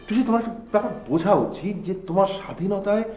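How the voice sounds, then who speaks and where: Actor as a high-voiced, coaxing brotherly man. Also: very fast, darkish tone, natural voice. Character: married older brother figure, coaxing and easily exasperated. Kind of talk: TV drama acting. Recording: echoey room.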